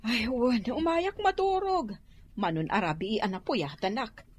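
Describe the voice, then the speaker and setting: A woman's voice: on the high side, animated, unhurried, a little hiss or hum. A woman acting a weary, grumbling neighbour who lacks sleep, in an Ilocano-accented radio drama. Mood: weary, curious.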